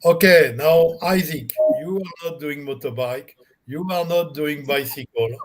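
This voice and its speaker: A man from France hosting a live online panel, speaking slowly with many fillers. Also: on the high side. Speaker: host. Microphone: video call.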